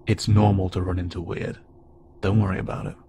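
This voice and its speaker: A man playing an awkward, gentle insect-like alien pilot who speaks haltingly and unsure of himself, in an intimate audio roleplay. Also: low voice, flat delivery. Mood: curious, softening. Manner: hesitant, halting, stammering and self-doubting. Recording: clearly noisy, with ambient sound bed.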